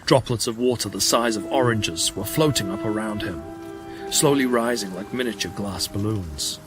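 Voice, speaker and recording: A man narrates a sci-fi audio drama in a fairly deep voice. The reading is scripted and dramatic, with loud music running underneath. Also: normal speed. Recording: prominent music bed under the voice.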